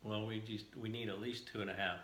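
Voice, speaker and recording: A man's voice: fairly low pitch, fairly even intonation, fairly quick, a bit bright. An American man talking casually and plainly, in a conversational interview style. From United States, moved around before settling in Southern Ohio. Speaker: house-hunting show applicant. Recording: echoey room with faint background noise.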